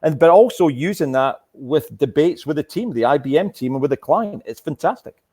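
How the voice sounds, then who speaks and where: A man's voice: on the high side, expressive, moderate pace, mellow. A man speaking casually as a corporate guest being interviewed on an online call. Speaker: corporate sponsor guest, oil and gas executive.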